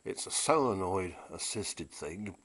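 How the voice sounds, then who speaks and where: A man's voice: low-pitched, animated, unhurried, a bit bright, slightly rough. A British man giving a casual, unscripted demonstration of vintage equipment in a chatty, wry, understated way.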